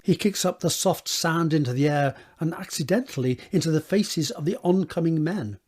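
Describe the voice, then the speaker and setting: A man's voice: fairly high pitch, normal speed, a little mellow, slightly rough. A man narrating an audio drama from a script, describing the scene in the present tense.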